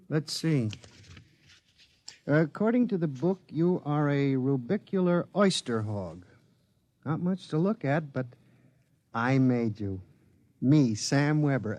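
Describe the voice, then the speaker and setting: An actor playing an anxious, lovelorn young lawyer in a 1950s radio drama, wry and fretful. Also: male voice, fairly high pitch, highs cut off, a little hiss or hum. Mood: coaxing.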